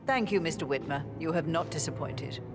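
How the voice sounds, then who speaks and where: A ruthless old matriarch from a video game, played by a woman, speaks in a low voice. She is imperious, haughty and commanding, over loud background music. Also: brisk, natural voice. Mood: composed, calculating. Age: older. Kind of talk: scripted video game dialogue.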